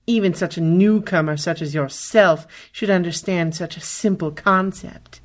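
A woman playing a haughty, scornful and menacing young female apprentice of the dark side in an audio drama. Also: lowish voice, moderate pace, lightly acted. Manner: imperious, scornful. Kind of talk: science-fiction audio drama acting.

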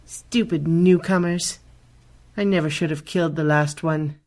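Imperious, gloomy young villainess for sci-fi radio play, played by a woman, slow delivery, scornful and menacing. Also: sits low, steady tone, darkish tone. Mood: haughty, scornful. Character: haughty, menacing, gloomy teenage female Sith apprentice. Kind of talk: science-fiction audio drama acting.

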